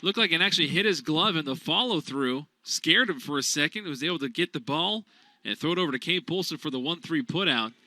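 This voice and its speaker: A man calling a college baseball game as radio play-by-play announcer, steady between pitches and rising loud on big plays. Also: fairly quick, no room sound.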